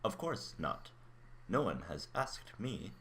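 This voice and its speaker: A man acting as a mind-reading robot in a radio play, calm and blunt, with a measured, formal delivery. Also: on the low side, full character voice. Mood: calm, matter-of-fact. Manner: measured, matter-of-fact, formal. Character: mind-reading male robot, calm, blunt, curious about human emotions.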